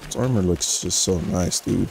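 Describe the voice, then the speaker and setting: A young man with a raspy, just-woken-up voice, giving laid-back, slangy commentary while playing a video game. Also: low-pitched, moderate pace, background noise. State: just woke up. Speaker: gaming channel host. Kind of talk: casual gameplay commentary.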